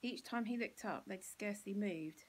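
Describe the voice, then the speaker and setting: A woman's voice: medium pitch, moderate pace, a little hiss or hum. A woman with an English accent reading a children's storybook expressively, as a teacher would to pupils.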